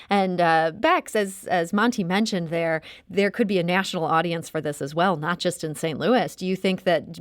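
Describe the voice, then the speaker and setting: An American woman hosts a public radio interview. Her voice sits a little low and comes through dry, without room sound. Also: no room sound. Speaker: radio show host.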